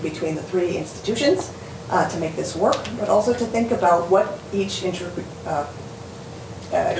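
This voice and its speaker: A woman giving an earnest conference talk, often pausing on fillers. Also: fairly low pitch. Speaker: panel speaker. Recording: echoey hall from far off, noisy.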